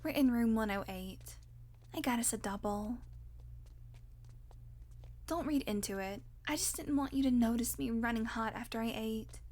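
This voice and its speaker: Casting: actress as cranky, sarcastic vampire woman for audio roleplay; high register, sweary, teasing. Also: normal speed, long pauses, darkish tone, close to an everyday voice. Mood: tired, irritable. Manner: sardonic, foul-mouthed, teasing with pet names. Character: hungry, irritable, sarcastic female vampire on a road trip. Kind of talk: audio roleplay acting, one-sided dialogue.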